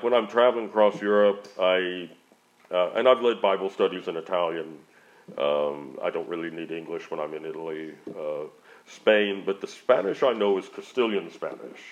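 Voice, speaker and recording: A man who works as a missionary answers audience questions in an earnest, conversational way, often restarting his sentences as he goes. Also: sits low. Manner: rambling, frequent restarts. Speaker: missionary speaker. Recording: dull lo-fi audio.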